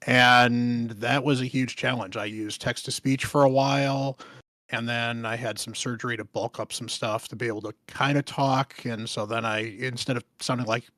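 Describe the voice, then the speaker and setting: Man, rough strained raspy voice, quiet, earnest, dry close sound. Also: fairly even intonation, normal speed, no room sound. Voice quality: rough, strained voice from a damaged vocal cord. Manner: low volume, careful and earnest. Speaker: streamer and content creator. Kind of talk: casual panel chat.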